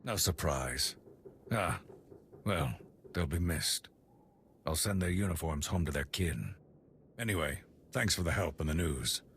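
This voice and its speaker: An actor playing a gruff, stern male military commander in a video game, speaking in a clipped, authoritative way. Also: extremely low pitch, lively intonation, measured pace, pauses often, fairly bright tone, a little hiss or hum, heavily acted. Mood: resigned. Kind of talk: dramatic video game dialogue.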